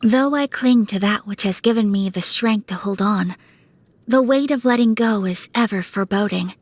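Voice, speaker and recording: A woman's voice: mid-range voice, moderate pace, no echo, natural voice. A woman voicing a refined, dutiful young noblewoman swordswoman from a video game, formal, poised and reserved in manner. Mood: melancholy, guarded. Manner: formal, poised, polite, softly earnest. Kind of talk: video game character voice lines.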